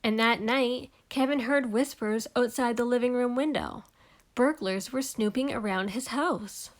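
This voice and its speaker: A woman reading a children's storybook aloud in an expressive narrating style. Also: a little high, unhurried. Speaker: storybook reader.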